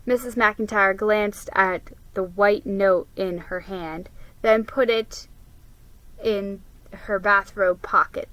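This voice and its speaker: A woman's voice: mid-range voice, steady tone, slow pace, a bit bright, no echo, rough recording. A woman giving a dramatic reading of a children's story, as a storybook reader.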